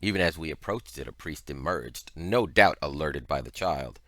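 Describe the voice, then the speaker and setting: A man reading a story aloud as scripted narration, with expressive, deliberate phrasing. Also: a bass voice, dry recording, clean studio sound. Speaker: audiobook reader.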